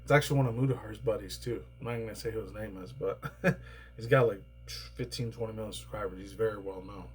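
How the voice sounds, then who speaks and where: A man rambling through casual news commentary, swearing often and laughing between remarks, his voice slightly raspy and tired. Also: fairly low pitch, fast, faint music behind. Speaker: commentary YouTuber.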